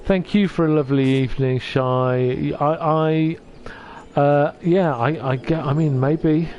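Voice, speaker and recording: Gentle, hesitant man streaming from home. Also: on the high side, fairly even intonation, measured pace, muffled recording, a little hiss or hum. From North Wales. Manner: gentle, hesitant, with many restarts. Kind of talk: casual chat reading out viewer comments. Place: at home on a sofa.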